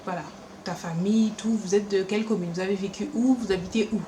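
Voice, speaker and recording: A young woman whose French carries a Caribbean lilt blended with Paris speech. She chats at a middle pitch, quick and animated with playful asides. The room is echoey and a faint hum sits in the background. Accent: French Caribbean, mixed with Paris French. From France, born in Paris, raised also in Martinique. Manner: chatty, animated, quick asides and acted-out quotes. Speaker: beauty vlogger. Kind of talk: casual chat to camera. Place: room at home.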